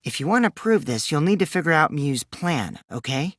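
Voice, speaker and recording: A mischievous teenage boy from a video game, with wildly animated pitch and a close, dry sound. He taunts in a childish singsong and swings theatrically between glee and cool, sly scheming. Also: moderate pace, no echo. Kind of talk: English video game character voice acting.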